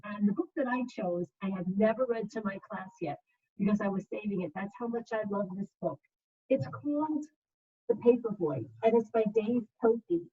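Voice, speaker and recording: Husky woman teacher on a phone-like call. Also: medium pitch, expressive, mellow. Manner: measured, simple phrasing for young listeners. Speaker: second grade teacher. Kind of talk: children's read-aloud and introduction to pupils. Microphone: video call. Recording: muffled, phone-like video call audio.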